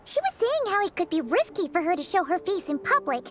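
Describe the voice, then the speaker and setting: Squeaky, childlike fairy companion. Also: female voice, sing-song, a little fast. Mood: excited, explaining. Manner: perky, exclaiming. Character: tiny chatty floating fairy companion, childlike and excitable. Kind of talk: video game voice acting. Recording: game music behind the voice.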